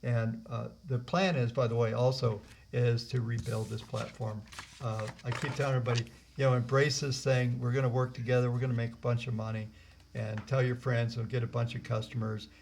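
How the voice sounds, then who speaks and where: A man hosting and leading a live software training webinar over a video call, rambling with many fillers and restarts. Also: mid-range voice, level tone, a little fast, slight background noise. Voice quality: gravelly. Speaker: host and trainer. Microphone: computer mic on a video call.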